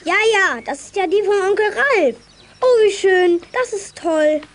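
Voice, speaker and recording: Ten-year-old boy, very high pitch, naive, chatty, children's audio drama, faint noise. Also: animated, measured pace. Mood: excited. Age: child character aged ten. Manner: artless, blurting, hesitant when recalling. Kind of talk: German children's radio play acting.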